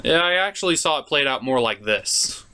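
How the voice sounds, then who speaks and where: A man's voice: medium pitch, animated, normal speed, bright, forceful tone, clean tone. A man hosting a comedic cartoon review, talking through the episode as commentary.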